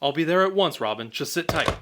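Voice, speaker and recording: Woman playing earnest male reporter hero, lowish pitch, lively intonation, hearty, radio serial acting, noisy recording. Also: quick pace. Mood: concerned.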